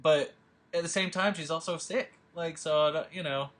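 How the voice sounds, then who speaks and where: A man's voice: fairly high pitch, normal speed, a bit bright. A man leading a table read, chatting casually from home over a video call, rambling with lots of fillers and restarts. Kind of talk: casual chat.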